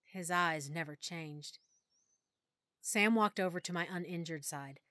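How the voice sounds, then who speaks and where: A woman narrating a full-cast audiobook in first person, with expressive, clear storytelling. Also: lowish voice, a little slow, dry recording, clean studio sound. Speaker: narrator and lead character.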